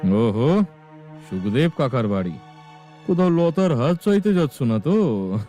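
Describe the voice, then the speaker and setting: Man voicing a sneering, mellow-toned village bully. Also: mid-range voice, big pitch swings, a little slow, soft background music. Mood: mocking. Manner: sneering, mocking. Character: arrogant, taunting rich young village rival, male.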